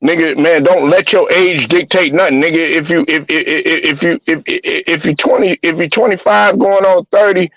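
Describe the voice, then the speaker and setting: A man's voice: fairly high pitch, fairly even intonation, normal speed, few pauses, fairly bright tone, dry recording, dull audio. A man hosting a talk show, ranting bluntly and profanely with heated repetition. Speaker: host. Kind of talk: profane sports-talk rant.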